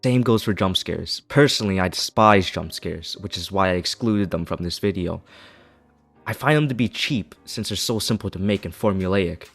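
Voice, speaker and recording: A man's voice: deep voice, normal speed, vocal fry, fairly bright tone, faint music behind. A man reading a scripted video essay as its narrator, with an opinionated, critical manner.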